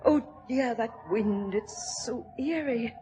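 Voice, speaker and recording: A woman's voice: fairly high pitch, slow pace, background noise. An actress in an old radio drama playing a fretful, genteel aristocratic mother who frightens easily. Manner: fussy, genteel, easily alarmed. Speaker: Lady Maynooth. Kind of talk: 1940s radio acting. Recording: old radio broadcast recording.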